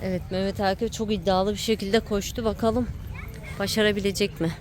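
A woman's voice: mid-range voice, level tone, a little fast, darkish tone. A woman giving casual, playful running commentary outdoors, encouraging and teasing a child at play. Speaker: adult filming and cheering on a child at play. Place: outdoor playground. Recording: noisy outdoor home-video recording.

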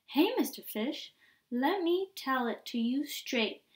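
A woman's voice: sits high, expressive, takes it slowly, distant mic. A woman voicing a blunt octopus in a picture book, speaking straight to the point. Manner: blunt and direct. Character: blunt eight-armed octopus.

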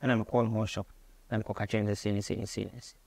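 A man's voice: on the low side, little pitch movement, fast, vocal fry, a little mellow. A man in his twenties with a Ghanaian accent, telling a story conversationally as a musician guest in an interview, often repeating phrases. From Ghana, Kumasi. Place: small radio studio, indoors.

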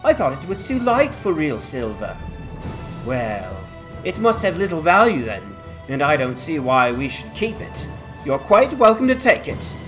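A man's voice: on the high side, huge pitch swings, a little slow, fairly bright tone, faint music behind, heavily acted, low-quality recording. A man voicing a chatty, naive hobbit museum keeper with a folksy, exclamatory manner in a fantasy game. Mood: cheerful, puzzled. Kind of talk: dramatized fantasy game quest dialogue.

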